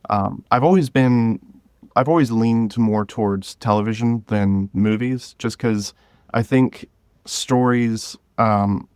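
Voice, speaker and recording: A man speaking as a podcast guest, explaining things informally and pausing to gather his thoughts. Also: medium pitch, dry recording, studio quality. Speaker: podcast guest, drama creator. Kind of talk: conversational interview.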